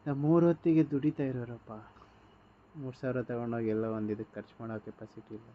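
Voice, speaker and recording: A man's voice: medium pitch, steady tone, brisk. A man on a phone call, resigned and sarcastic in an argument. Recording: dull phone call with faint hiss.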